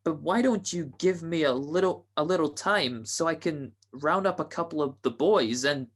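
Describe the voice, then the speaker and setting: A man acting a nervous, slow-witted gangster's henchman in a radio play, hesitant and stammering, with slangy speech. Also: on the high side, breathy edge. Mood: doubtful, uneasy.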